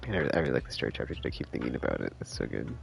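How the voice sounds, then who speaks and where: A male game streamer with an extremely low, creaky voice and very animated pitch. He rambles casually and enthusiastically in gamer slang, with some game music behind him. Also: rapid-fire. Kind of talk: casual live stream commentary.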